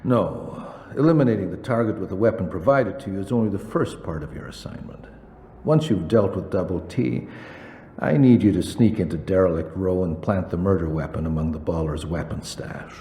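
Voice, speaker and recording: An actor playing a cold, menacing, articulate crime boss with formal, measured wording in a video game cutscene. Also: male voice, low-pitched, warm tone. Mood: calm, calculating. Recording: echoey reverberant space with background noise.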